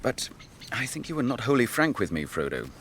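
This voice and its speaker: An actor playing a grave, noble young captain grieving his brother, in measured, archaic speech for a fantasy radio drama. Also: mid-range voice, sing-song, a bit bright, slight background noise. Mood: grave, probing.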